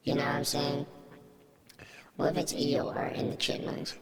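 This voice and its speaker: A man's voice: fairly high pitch, completely flat delivery, measured pace, whispered, loud music behind the voice. A man chatting casually and jokingly on a podcast, slangy and profane, peppering his talk with bro and dude. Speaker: podcast guest and co-host.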